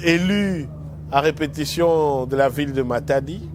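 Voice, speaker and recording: A middle-aged lawyer, recounting memories in an unhurried way, pausing and restarting as he goes. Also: a little high, background noise. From DR Congo. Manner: unhurried, reminiscing with pauses and restarts. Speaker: lawyer and former movement spokesperson. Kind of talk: reflective storytelling.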